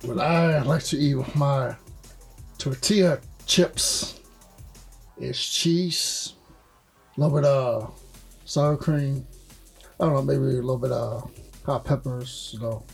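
A man with a gravelly, husky voice chatting casually as a podcast panelist. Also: medium pitch, fairly even intonation, takes it slowly, pauses often, soft background music. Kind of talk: casual chat.

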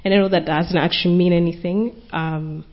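A woman's voice: on the low side, fairly even intonation, normal speed. A woman panelist speaking earnestly and reflectively at a conference panel discussion, thinking aloud as she goes. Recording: dull lo-fi conference recording.

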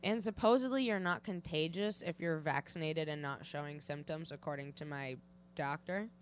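A woman's voice: sits low, moderate pace, slight background noise. A woman from the Boston area hosting a casual podcast chat, laughing a lot and saying "dude" often. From Greater Boston area.

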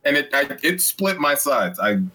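A man's voice: fairly high pitch, sing-song, normal speed, bright, forceful tone. A man joining a casual wrestling podcast as a guest, talking loosely and bluntly and swearing now and then.

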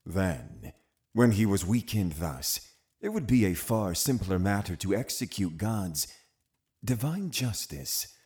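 An actor playing a cunning, zealous Puritan uncle in an audio drama, commanding and condescending with deliberate phrasing. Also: male voice, sits low, big pitch swings, darkish tone, heavily acted. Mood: scornful, brooding. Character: cunning, zealous Puritan uncle, a menacing witch-hunting authority. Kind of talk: full-cast audio drama acting.

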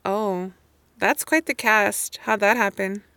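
A woman's voice: mid-range voice, steady tone, takes it slowly, pushed and bright, clear, resonant tone, dry recording. A woman playing a guarded, wry young woman home for a funeral in an audio drama, casual and self-interrupting. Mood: wary. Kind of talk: naturalistic audio drama monologue and dialogue.

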